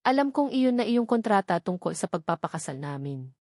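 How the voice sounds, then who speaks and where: A woman's voice: medium pitch, sing-song, brisk, a little mellow, no echo, studio quality. A woman with a Filipino accent voicing a serious, bossy male fiancé in an audiobook novel. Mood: serious, curt. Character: serious, bossy billionaire man, exasperated fiancé. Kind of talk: audiobook character dialogue.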